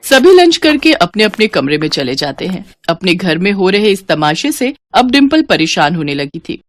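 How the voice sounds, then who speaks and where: A woman's voice: fairly low pitch, very animated, normal speed. A woman telling a story as a scripted narrator.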